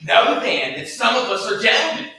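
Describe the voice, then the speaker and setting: An amateur actor playing a swaggering, banished gentleman outlaw in a staged Shakespeare reading, hearty and projected. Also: medium pitch, big pitch swings, moderate pace. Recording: very echoey room, distant mic, faint noise.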